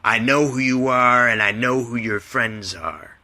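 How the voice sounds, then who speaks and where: A man's voice: mid-range voice, a little slow, pushed and bright. A man playing a hostile, persuasive bar patron, emphatic and accusing, in a retold story. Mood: menacing.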